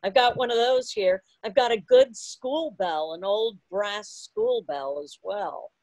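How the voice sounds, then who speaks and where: Unhurried woman chatting on a video call. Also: mid-range voice, darkish tone, low-quality recording. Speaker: club meeting host. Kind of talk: casual chat.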